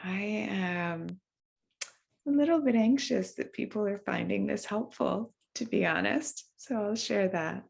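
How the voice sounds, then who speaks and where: A woman leading a video-call mindfulness session in a gentle, unhurried way, with frequent ums and restarts. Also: medium pitch, vocal fry. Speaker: workshop facilitator. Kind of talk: gentle guided mindfulness talk.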